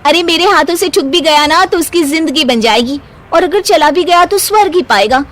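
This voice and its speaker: A woman voicing a proud, stubborn young married woman in an animated moral story, with a bossy, emphatic way of talking. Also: high voice, normal speed, voice pushed up.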